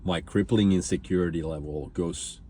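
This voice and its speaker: A man's voice: deep voice, fairly even intonation, moderate pace, dark tone, a little hiss or hum. A man from Finland speaking reflectively as a guest in a conversational interview. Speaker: interview guest, entrepreneur and business coach.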